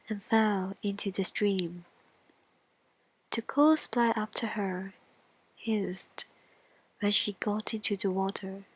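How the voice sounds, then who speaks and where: A woman's voice: medium pitch, slightly rough, low-quality recording. A woman storyteller narrating a scripted bedtime story for children.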